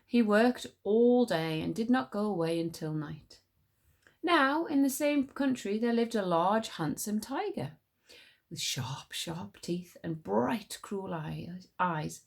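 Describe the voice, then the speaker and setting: A woman reading a story aloud as a teacher would, in an expressive storybook narration. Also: mid-range voice, slow pace, gravelly.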